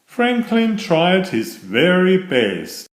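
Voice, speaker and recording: A man's voice: high voice, wildly swooping pitch, extremely slow. A man narrating a children's picture book as a storyteller, reading aloud in a roomy, echoing space. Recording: roomy echo.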